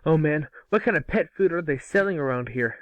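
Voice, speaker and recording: A man voicing a cocky, excitable young boy hero from a cartoon, brash in his delivery. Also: fairly high pitch, a little fast, dry recording, natural voice. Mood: startled.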